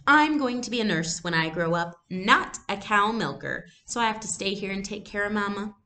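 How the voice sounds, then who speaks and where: A woman's voice: medium pitch, expressive, normal speed, sharp tone, voice pushed up. A woman reading a storybook, voicing a boastful, insistent and stubborn little girl.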